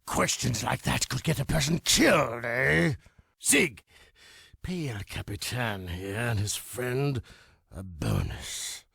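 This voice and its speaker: Give the game a greedy old crime boss with a deeply creaky, very husky wheeze. He is oily and pompous, swinging his pitch as he schemes, and tags phrases with a questioning grunt. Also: sing-song, slow, pushed and bright, no room sound, clean studio sound, full character voice. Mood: menacing, sly. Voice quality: wheezy, gasping, gravelly. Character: greedy, sly, gluttonous older male crime boss. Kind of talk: video game cutscene acting.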